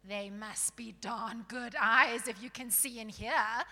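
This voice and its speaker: A woman voicing a surly, suspicious dwarf in a storybook reading, barking gruffly with blustering, colloquial grumbles. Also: on the high side, steady tone, slow pace, pushed and bright, big-room sound, full character voice.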